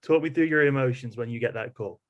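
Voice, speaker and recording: A man's voice: medium pitch, fast, lo-fi sound. A man hosting a casual podcast interview, conversational, rephrasing his questions as he goes.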